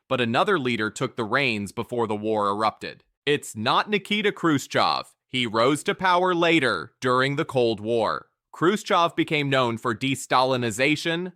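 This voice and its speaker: A man narrating a history quiz from a script, with an energetic, emphatic delivery. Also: mid-range voice, no room sound, clean studio sound.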